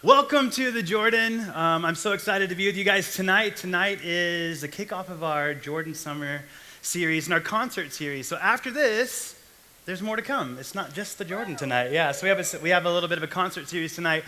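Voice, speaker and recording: A man's voice: sits high, fairly quick, pushed and bright. A young man from Texas giving a casual, joking sermon to a young adult church group. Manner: chatty, jokey asides. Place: church hall stage.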